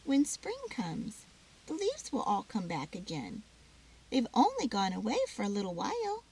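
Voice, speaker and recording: A woman voicing a gentle mother squirrel in a storybook, explaining things patiently. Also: medium pitch, huge pitch swings, moderate pace, a little hiss or hum, poor audio. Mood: gentle, reassuring.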